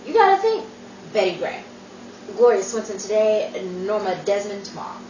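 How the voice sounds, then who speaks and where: A woman's voice: medium pitch, very animated, normal speed, recorded from a distance, close to an everyday voice. A woman playing a dry, sarcastic friend with cutting wit in a 1940s-style radio comedy, on a noisy, echoey recording. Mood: sardonic. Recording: noisy, dull, echoey room from afar.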